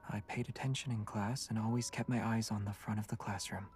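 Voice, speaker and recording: An actor playing a calm, guarded young male student with secrets, speaking in a measured way for a video game. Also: on the low side, distant mic, faint music behind, natural voice. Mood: calm, reflective.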